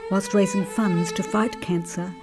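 Woman host, mid pitch, measured scripted intro, loud fiddle music underneath. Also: fairly even intonation. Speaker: radio host. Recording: voice over loud violin music.

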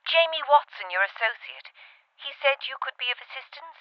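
A woman's voice: sits high, lively intonation, fairly quick, no room sound, lo-fi sound. A woman playing a rich, desperate and gullible wife in a radio drama, earnest, plaintive and a little self-important. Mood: desperate, pleading. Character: wealthy, desperate, gullible wife seeking a hypnotist.

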